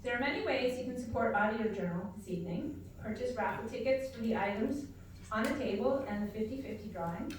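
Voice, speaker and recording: A woman with a slightly high voice gives public announcements at a live event. She is heard at a distance from the mic, in a hall with very heavy echo. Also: expressive, a little fast. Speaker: organization director giving event introduction. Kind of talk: public announcement read to an audience. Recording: very echoey hall, distant mic, faint noise.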